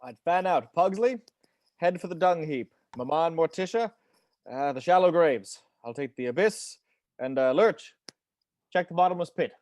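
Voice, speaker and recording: A man's voice: a little high, animated, normal speed, darkish tone, dry recording, clean studio sound, lightly acted. A man playing a theatrical, devoted family patriarch with grandiose, declamatory delivery in a film script table read. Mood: commanding.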